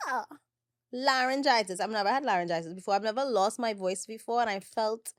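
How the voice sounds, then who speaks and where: A woman hosting her own podcast, chatting casually and animatedly about her work, still slightly hoarse. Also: on the high side, a little fast, no echo, studio quality. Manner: chatty and animated, laughing often. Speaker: podcast host, makeup artist. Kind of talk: casual solo podcast chat.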